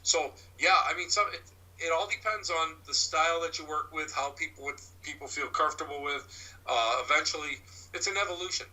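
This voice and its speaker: A man with a loud voice, answering interview questions about his paranormal work in a rambling way, full of restarts and fillers. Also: a little high, fairly quick, low-quality recording. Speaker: paranormal investigator and medium. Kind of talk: interview guest, casual explaining.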